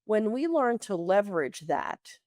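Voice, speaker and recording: A woman from the Bronx speaks as a coach being interviewed, in a mid-pitched voice with a very dry sound. Her talk is conversational, and she slips into imagined quotes to make her points. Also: sing-song, a little slow, a little mellow, dry recording. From the Bronx, New York, United States. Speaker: interview guest, leadership coach.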